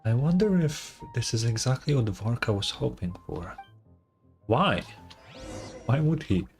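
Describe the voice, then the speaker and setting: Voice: medium pitch, sing-song, measured pace, a little mellow. Casual streamer commenting over game music. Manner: informal, reactive, sweary.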